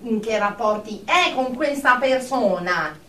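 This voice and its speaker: In a roomy, echoing radio drama, a woman plays a controlling therapist with a very high voice. She is measured, slow and coolly officious. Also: lively intonation, fairly bright tone, slight background noise. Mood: clinical. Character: stern, formal, controlling female therapist. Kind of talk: Italian radio drama acting. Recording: echoey room, distant mic.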